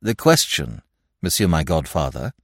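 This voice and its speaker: A man reading aloud and voicing a calm, self-possessed, earnest young man. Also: very deep voice, normal speed, dry recording, clean studio sound, exaggerated voice. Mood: firm.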